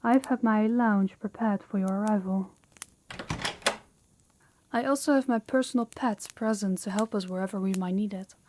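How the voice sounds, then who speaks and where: A woman's voice: medium pitch, moderate pace, long pauses, warm tone, a little hiss or hum, natural voice. A woman playing a dominant mafia boss in an audio roleplay, stern and condescending, giving orders and patronizing praise. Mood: courteous, formal. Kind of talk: audio roleplay addressed to the listener.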